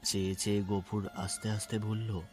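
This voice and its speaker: A man narrating a literary story dramatically, in a measured and expressive way. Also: on the low side, close to an everyday voice. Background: background music.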